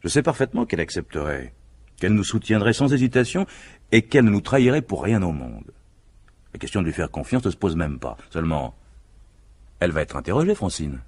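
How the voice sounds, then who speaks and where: An actor playing a self-assured, scheming husband in a vintage French radio mystery, speaking in persuasive, emphatic speeches with a husky voice. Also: male voice, low voice, sing-song, a little fast, a little mellow, heavily acted. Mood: assured, cautioning.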